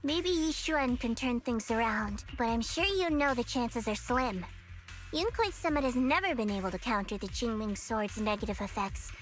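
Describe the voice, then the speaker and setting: An actress playing a composed, pragmatic young ally in a video game, calm and matter-of-fact. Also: female voice, on the high side, normal speed, faint music behind, natural voice. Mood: calm, cautious. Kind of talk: scripted video game voice acting.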